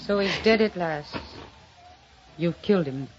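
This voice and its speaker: A woman's voice: lowish voice, a little slow, natural voice. Actress as a grim, cool captive woman. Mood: cold, grim. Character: grim, captive sister of a killer. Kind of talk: 1950s radio mystery acting. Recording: dull old radio recording, music behind.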